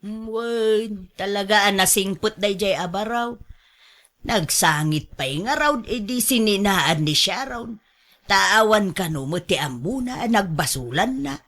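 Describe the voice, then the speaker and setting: A woman playing a fretful, scolding but caring older mother and grandmother in a radio drama, with drawn-out exclamations. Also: medium pitch, lively intonation, a bit bright. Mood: gossiping, regretful.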